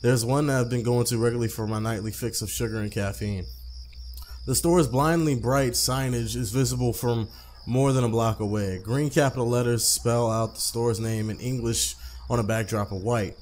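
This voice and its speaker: A man's voice: mid-range voice, steady tone, normal speed, a little mellow, slight background noise. A man hosting a let's play, reading a visual novel aloud conversationally, with casual asides and stumbled, repeated phrases. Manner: conversational, stumbles and rereads lines.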